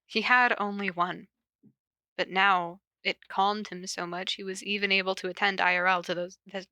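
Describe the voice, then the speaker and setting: A woman with a bright, forward voice and a close, dry sound. She talks informally as a podcast host, moving between casual story reading and chat, with a giggly, self-interrupting manner. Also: medium pitch, no room sound. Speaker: podcast host reading fan fiction aloud.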